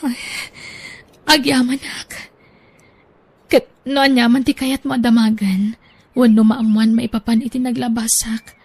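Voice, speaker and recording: Woman acting a high-voiced, sweet young sweetheart. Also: flat delivery, a little slow, pauses often, a little mellow, slightly rough, no room sound. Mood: apologetic, anxious. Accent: Filipino. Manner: sweet, sentimental. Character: sweet young woman in love, gentle and sincere. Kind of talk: radio drama acting.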